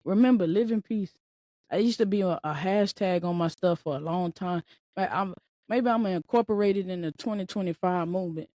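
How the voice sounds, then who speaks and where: A woman's voice: fairly low pitch, a little fast, mellow, dry recording, clean studio sound. A woman in her late twenties hosting a solo podcast, talking casually and emphatically with lots of repetition, like a motivational pep talk. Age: 20s. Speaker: podcast host.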